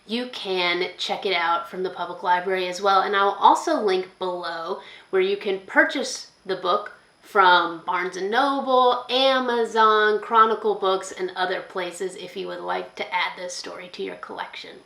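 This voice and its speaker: A woman reading a children's picture book aloud as a school librarian, expressively, pausing to put questions to young listeners. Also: medium pitch, fairly even intonation, creaky, pushed and bright, echoey room.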